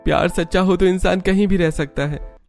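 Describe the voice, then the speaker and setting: An actor playing a tender, earnest young husband in a cartoon story. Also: male voice, high voice, fairly even intonation, fairly quick, vocal fry, soft background music. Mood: remorseful. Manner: earnest, heartfelt. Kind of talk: Hindi cartoon story dialogue.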